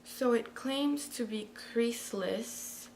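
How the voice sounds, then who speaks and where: A woman reviewing a product in a casual, chatty way, talking straight to her viewers, with a slightly raspy early-morning voice. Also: a little high, slow pace, darkish tone, a little hiss or hum, low-quality recording. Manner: chatty, talks to viewers directly. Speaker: beauty vlogger.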